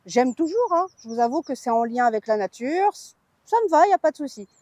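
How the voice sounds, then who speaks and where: Woman vlogger, really high voice, chatty and playful, candid opinions, dry close sound. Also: big pitch swings, fairly quick, a little mellow, no room sound. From France. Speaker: vlog host. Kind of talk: chatty theme park news vlog.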